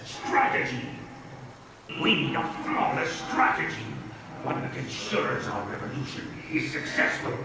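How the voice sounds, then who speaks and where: Echoing actor as a fiery rebel leader. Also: male voice, medium pitch, expressive, unhurried, very noisy, lightly acted. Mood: grave, bitter. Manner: theatrical, rhetorical oratory. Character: fiery, commanding male rebel leader of the slums. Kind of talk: scripted video game acting. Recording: echoey, distant, under loud music.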